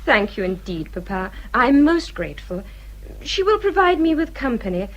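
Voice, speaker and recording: An actress playing a haughty but deferential grown daughter of English gentry in a period radio drama, speaking formally and persuasively. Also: female voice, fairly high pitch, big pitch swings, moderate pace, poor audio. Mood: obliging.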